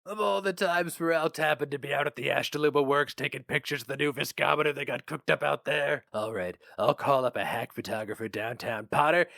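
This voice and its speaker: A man voicing a brash, cynical, fast-talking male publicity boss, barking and emphatic, in a story reading. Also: a little high, vocal fry, a bit bright, no room sound, studio quality.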